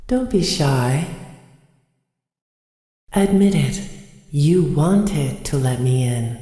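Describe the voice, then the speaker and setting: Horror game stalker animatronic: sinister, luring, extremely slow and dark-toned, echoing. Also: female voice, extremely low pitch, full character voice. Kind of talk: scripted horror game villain lines. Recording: echoey with long reverb.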